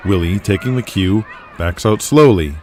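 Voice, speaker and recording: A man with a low voice narrates an audio drama in a scripted, storytelling style. There is faint noise behind him. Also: moderate pace, darkish tone.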